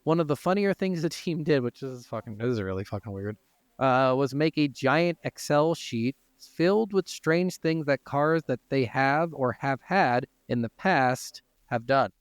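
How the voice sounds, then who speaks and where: A man's voice: medium pitch, lively intonation, unhurried, smooth, no room sound, studio quality. A man hosting a casual gaming podcast, moving between informal, sweary chat and reading from notes. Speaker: podcast host.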